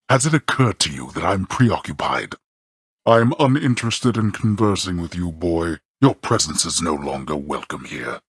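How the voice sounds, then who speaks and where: An actor voicing a stern, contemptuous god of the dead in a video game, a haughty father with formal archaic speech and a gravelly voice. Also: deep voice, a bit bright, no room sound, clean studio sound, exaggerated voice. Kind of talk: video game character voice acting.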